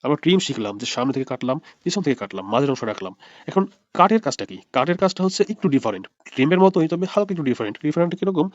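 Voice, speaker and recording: Male tutorial narrator, extremely quick delivery, explains step by step, close dry recording. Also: a little high, big pitch swings, no echo, muffled recording. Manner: explanatory, repeats points step by step. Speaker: video editing instructor.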